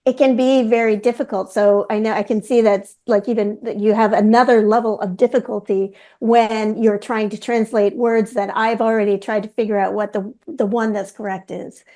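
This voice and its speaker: A woman hosting a webinar in a relaxed, rambling way, often correcting herself mid-sentence. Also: medium pitch, normal speed, few pauses. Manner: rambling, self-correcting, chatty. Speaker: webinar host. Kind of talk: casual panel discussion.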